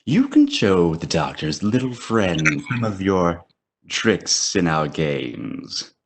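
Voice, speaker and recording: An actor playing a tall, imposing villain in an audio drama, a gloating master of games with a slow, drawn-out delivery. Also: male voice, sits low, huge pitch swings, husky, full character voice. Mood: smug menace.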